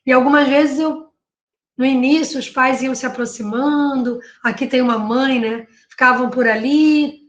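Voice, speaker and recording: A Brazilian woman speaks in a notably high voice, talking through her research like a professor at an online panel. She keeps it conversational, checks in with her listeners and corrects herself as she goes. Her voice rings a little in an echoey room. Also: steady tone, moderate pace, muffled recording. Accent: Brazilian Portuguese. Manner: conversational, frequent "né?" tags and self-corrections. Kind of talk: academic panel talk presenting her work. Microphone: video call.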